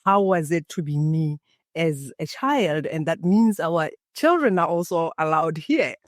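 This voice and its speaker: A woman from Botswana, a Setswana native speaker, guesting on a podcast and telling her story in a calm, guiding way, as if leading a meditation. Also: sits low, measured pace, dry recording, studio quality. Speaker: guest, embodiment coach. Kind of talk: podcast interview, reflective storytelling.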